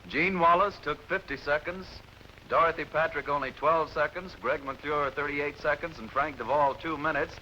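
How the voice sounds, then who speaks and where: Man, quiz show host, brisk, jovial, higher voice, noisy lo-fi recording. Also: steady tone, bright, forceful tone. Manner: brisk, jovial, explaining rules. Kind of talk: live television quiz hosting. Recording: noisy lo-fi 1950s television audio.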